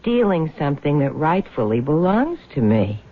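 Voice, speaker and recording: An actress playing a hard-boiled, greedy small-town widow in a radio drama, blunt and slangy. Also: female voice, sits low, big pitch swings, moderate pace, phone-quality sound. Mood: scheming. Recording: thin band-limited old radio recording.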